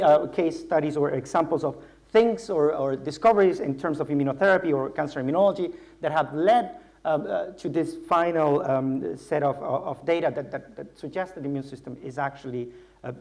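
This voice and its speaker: A man with a somewhat high voice lectures to a general audience in a university lecture theatre. He explains patiently and builds each sentence aloud, often repeating words along the way. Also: a little mellow. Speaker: scientist giving a public lecture.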